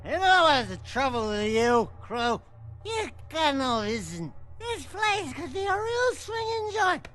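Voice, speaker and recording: A small, cocky male critter from a video game cutscene. The voice is high and very animated, drawling its wisecracks at an unhurried pace. He is brash and always clowning. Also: faint music behind, exaggerated voice. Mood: boastful.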